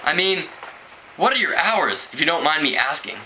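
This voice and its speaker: A man's voice: fairly high pitch, sing-song, normal speed, bright, forceful tone, full character voice. A man reading a storybook aloud, voicing an eager, awkward teenage boy who politely improvises as a reporter. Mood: earnest, inquisitive. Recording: clearly noisy home recording.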